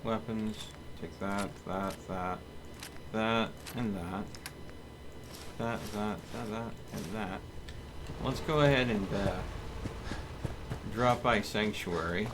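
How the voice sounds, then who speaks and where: A man streaming a video game, thinking aloud in casual, muttered commentary as he deliberates. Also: on the low side. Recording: clear background noise from game ambience.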